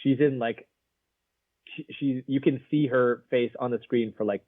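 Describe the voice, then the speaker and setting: A man's voice: mid-range voice, fairly even intonation, moderate pace, no room sound. A man co-hosting a casual film review podcast, rambling with lots of fillers and frequent laughs. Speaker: podcast co-host. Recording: narrow phone-like sound.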